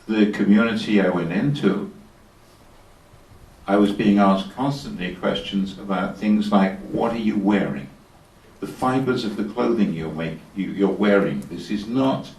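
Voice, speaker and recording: A man answering audience questions at a panel discussion, explaining in a measured way with personal stories. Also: lowish voice, darkish tone. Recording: roomy, distant hall recording.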